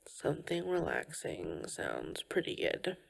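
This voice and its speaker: Caring girlfriend for comfort ASMR, acted by a woman: breathy, crackly low-end fry, gentle and reassuring. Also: huge pitch swings, exaggerated voice. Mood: soft, affectionate. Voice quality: breathy, often slipping into whispers. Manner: gentle, slow, reassuring, with soft pauses. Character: tender, caring girlfriend comforting a tired partner. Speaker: ASMR roleplay performer. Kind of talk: soft-spoken ASMR comfort roleplay.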